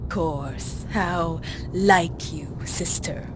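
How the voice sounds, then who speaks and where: An actress playing a sadistic, mocking vampire villainess in a video game, vain and menacing. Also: female voice. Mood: contemptuous. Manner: slow, taunting, mocking. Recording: clear rumbling background noise.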